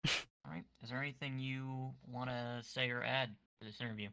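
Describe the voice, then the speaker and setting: A man with a bright, sharp voice hosts a casual online interview. He asks playful, teasing questions, and his voice sits at a middle pitch. He is recorded on a desktop mic. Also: flat delivery, normal speed, low-quality recording.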